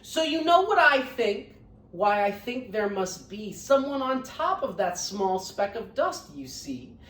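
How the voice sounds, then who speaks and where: A gentle, protective storybook elephant, voiced by a woman, earnest and emphatic. The pitch rises and falls widely. The sound is echoey and set back from the microphone. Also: expressive, measured pace. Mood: earnest, caring. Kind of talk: children's picture-book read-aloud. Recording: roomy echo, distant microphone.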